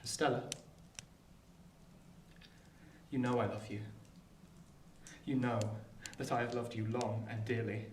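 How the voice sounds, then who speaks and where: A man playing an earnest, lovelorn young gentleman in a radio play, speaking formally and with pleading passion. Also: mid-range voice, fairly even intonation, normal speed, close to an everyday voice. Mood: tender, pained. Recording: echoey, distant, noisy room.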